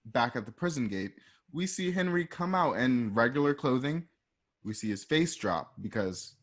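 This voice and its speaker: A man with a medium-pitched voice serves as narrator for a radio drama. He reads out scene directions informally, a little haltingly, dropping in asides.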